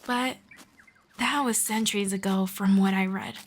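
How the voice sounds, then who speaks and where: A woman playing a friendly, knowledgeable elf in a scripted roleplay, speaking to the listener in a formal, measured, gently explanatory way. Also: medium pitch, steady tone, lightly acted. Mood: calm, wistful. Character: friendly, knowledgeable female elf guiding a human. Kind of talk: scripted roleplay audio, one-sided conversation with listener.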